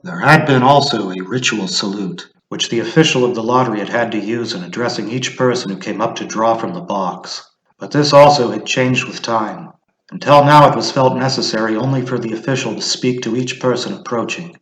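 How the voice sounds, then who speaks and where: A man narrating a short story as a dramatic reading, measured and deliberate. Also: lowish voice, fairly bright tone, slightly rough, rough recording.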